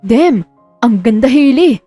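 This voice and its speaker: A woman's voice: a little high, expressive, slow pace, mellow, dry recording, faint music behind. A woman playing a loyal, protective male best friend with a playful side in a romance audio drama. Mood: amazed.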